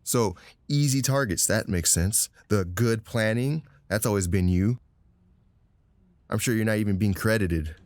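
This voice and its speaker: A man's voice: fairly low pitch, a little slow, darkish tone, natural voice. A man acting in an audio drama as a wry, pointed former comrade, intimate and resentful. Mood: indignant, pressing.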